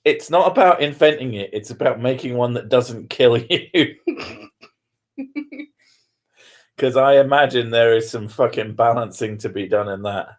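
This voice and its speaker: A man's voice: mid-range voice, a little slow, pauses often. A man chatting casually with his partner, joking and swearing a lot and laughing often. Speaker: streamer.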